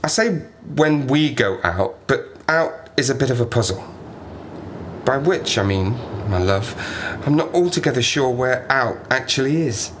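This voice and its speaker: A man plays a lonely castaway survivor in a radio drama, half-mad and wryly sardonic. His mid-pitched voice swings animatedly as he rambles to himself in a diary-like monologue. Some background noise sits behind him. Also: lively intonation, measured pace, lightly acted. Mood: wistful, rambling. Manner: rambling, self-mocking, sardonic, talks to himself.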